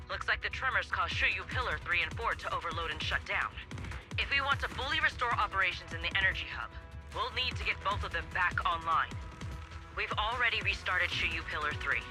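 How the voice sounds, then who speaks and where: A voice actor playing a field operator giving a businesslike status report in a game. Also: male voice, high-pitched. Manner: brisk, businesslike. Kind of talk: video game dub. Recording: game audio over loud music.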